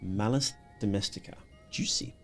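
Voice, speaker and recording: A man's voice: lowish voice, moderate pace. A man giving casual live commentary as a streamer, with wry, joking asides. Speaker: streamer reacting to a horror game video. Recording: music playing behind the voice. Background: music.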